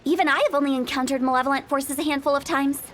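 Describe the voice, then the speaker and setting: A woman's voice: high-pitched, steady tone, rapid-fire, a bit bright, a little hiss or hum. An actress playing a playful, shrewd young woman who runs a funeral parlor in a game, confident and teasing. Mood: matter-of-fact. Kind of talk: game voice acting.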